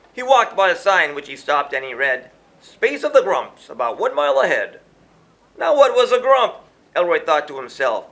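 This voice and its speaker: A man's voice: sits high, a bit bright. A man reading a rhyming children's story aloud in a theatrical, sing-song, emphatic storyteller's delivery. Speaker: children's book author reading their own book.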